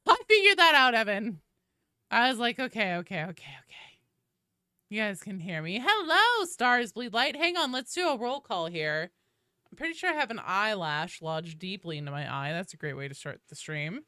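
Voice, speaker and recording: A woman streamer with a bright, crisp voice and lively, swinging pitch. She chats casually and informally with her viewers, swearing freely, on a close, dry-sounding recording. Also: moderate pace, dry recording. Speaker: live streamer hosting a game stream. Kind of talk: casual livestream chat with viewers.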